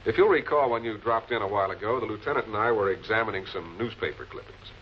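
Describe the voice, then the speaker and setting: A man playing a composed, probing public prosecutor in 1940s television crime drama style, measured and politely pressing. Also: lowish voice, close to an everyday voice. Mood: measured, explanatory. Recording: muffled, noisy old film soundtrack.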